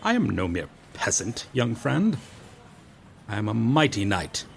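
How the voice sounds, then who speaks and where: A man's voice: lowish voice, big pitch swings, unhurried, vocal fry, a little hiss or hum, full character voice. A man playing a proud, courteous medieval knight out of his time in an audio roleplay, with formal, archaic, theatrical phrasing. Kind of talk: ASMR roleplay acting.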